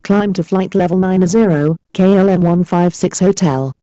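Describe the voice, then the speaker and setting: A woman's voice: fairly low pitch, normal speed, dark tone, no echo. A woman speaking clipped aviation phraseology over an air traffic radio transmission. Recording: dull, band-limited air traffic radio.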